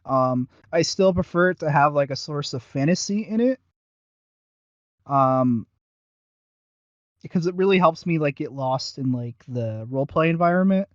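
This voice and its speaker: A man's voice: a little high, animated, normal speed, pauses often, no echo, lo-fi sound. A man co-hosting a casual review podcast, talking in a rambling, self-deprecating way. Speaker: podcast co-host.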